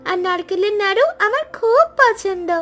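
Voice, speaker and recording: A woman's voice: very high voice, normal speed, fairly bright tone, faint music behind. A woman voicing an innocent, talkative little child in a cartoon story. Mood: innocent, earnest. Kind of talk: animated story voice acting.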